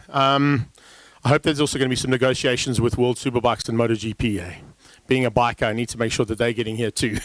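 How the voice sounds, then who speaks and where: A man's voice: medium pitch, fairly bright tone, no room sound. A man hosting a press conference, introducing speakers with light jokes in an upbeat way. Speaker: master of ceremonies.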